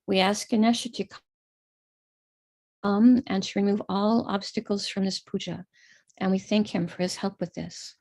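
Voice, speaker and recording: American woman with a gravelly, dry voice. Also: mid-range voice, fairly even intonation, normal speed, long pauses, a little mellow, no room sound. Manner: patient, explanatory, walks through a chart step by step. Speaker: astrologer leading a ritual. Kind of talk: live-streamed ritual instruction.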